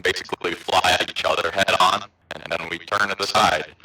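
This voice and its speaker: Deep guy pilot chatting casually on game radio, echoey, off the mic. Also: fairly even intonation, fairly quick, sharp tone. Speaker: amateur airshow pilot in online flight simulator. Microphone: online voice chat. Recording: roomy, distant radio-like voice chat.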